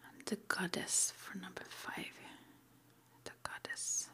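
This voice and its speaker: A woman whispering in a breathy, mostly unvoiced ASMR style, reading out short affirmations slowly and deliberately with pauses. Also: bright, forceful tone. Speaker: ASMR roleplay host.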